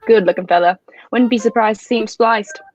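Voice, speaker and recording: A woman's voice: fairly high pitch, fairly quick, a little mellow, natural voice. A woman playing a friendly, gossipy pub keeper, slangy and chatty, in a radio drama. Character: friendly, gossipy working-class pub keeper, former carriage driver. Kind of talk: 1940s radio drama acting.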